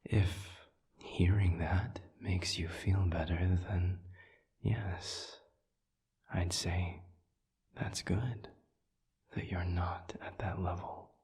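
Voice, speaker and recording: A man playing a calm, considerate demon in an intimate audio roleplay, speaking in a breathy near-whisper with measured, formal, gentle phrasing. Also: low-pitched, exaggerated voice. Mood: measured, reassuring. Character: calm, considerate male sadism demon offering comfort.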